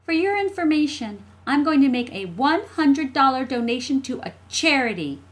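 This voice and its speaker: A woman's voice: high voice, sing-song, moderate pace, voice pushed up. A woman voicing a feisty, smug young schoolgirl in an audiobook, speaking in an emphatic, childlike way. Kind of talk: audiobook character dialogue.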